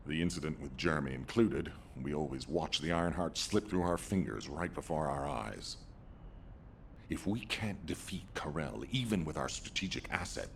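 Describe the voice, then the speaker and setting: A grave male commander in a video game. His voice is very deep and gravelly. He speaks pragmatically, over a faint noise. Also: full character voice. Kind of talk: scripted game dialogue.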